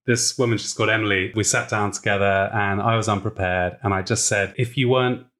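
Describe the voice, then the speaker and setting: A man's voice: lowish voice, steady tone, fairly quick, fairly bright tone. A man hosting a podcast interview, chatting enthusiastically with plenty of fillers. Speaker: podcast host. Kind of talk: conversational interview.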